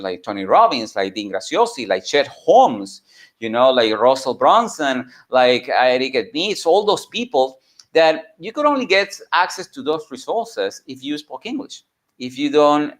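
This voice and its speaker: Halting man with a Venezuelan Spanish accent. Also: mid-range voice. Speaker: entrepreneur and mentor giving a talk. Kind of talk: informal personal storytelling.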